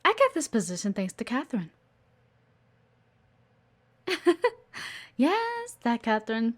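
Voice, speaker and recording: A coy, teasing female executive assistant acted by a woman in an audio roleplay, speaking in a high, very animated voice. She is chatty and observant, addressing the listener intimately with a faintly menacing edge under the playfulness. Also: slow, full character voice. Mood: fond, reflective. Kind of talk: intimate scripted audio roleplay, one-sided conversation with the listener.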